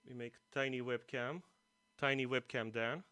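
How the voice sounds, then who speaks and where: A man's voice: medium pitch, fairly even intonation, normal speed, sharp tone, dry recording. A man hosting a casual guitar livestream, rambling to viewers and poking fun at himself. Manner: self-deprecating, rambling asides to viewers and himself. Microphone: streaming mic, poorly placed. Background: music playing.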